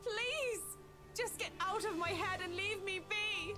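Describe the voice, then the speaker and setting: A woman's voice: extremely high pitch, a little slow, over loud music. A woman playing a guilt-ridden, anxious young woman in a horror game, with an earnest, fragile, dramatic delivery. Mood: frightened, pleading.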